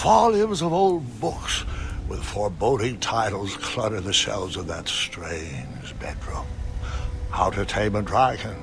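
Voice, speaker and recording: A man playing a whimsical old grandfather who tells his adventures theatrically in a storybook-style game, with a gravelly voice. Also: fairly low pitch, lively intonation, takes it slowly, noisy background. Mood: theatrical, mysterious.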